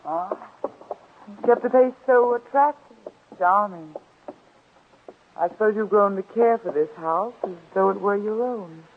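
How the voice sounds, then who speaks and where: A woman's voice: medium pitch, measured pace, pauses often. An actress playing a poised, slyly probing young woman visitor, talking in a polite, genteel, conversational way in 1940s radio drama style. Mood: friendly, probing. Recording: tinny, muffled old-time radio recording.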